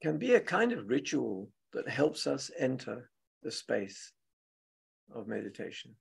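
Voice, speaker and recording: A man guides a meditation in a very husky, breath-worn voice with heavy creak. He speaks gently and very slowly, leaving long pauses between short phrases, as a teacher feeling out each thought. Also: medium pitch, very animated. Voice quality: husky, gravelly. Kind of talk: guided meditation, spoken softly and unscripted.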